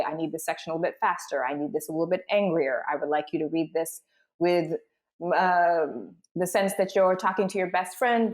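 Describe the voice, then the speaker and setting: A woman hosting as a voice director, narrating and explaining in a clear, articulate way. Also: on the low side, darkish tone. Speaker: voice director and host.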